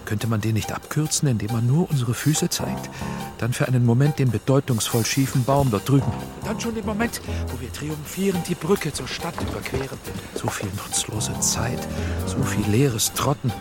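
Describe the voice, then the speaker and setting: An actor playing a driven, exhausted film director in a German radio play, terse and commanding. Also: male voice, mid-range voice, animated, normal speed, over music, natural voice. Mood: dreamily intent.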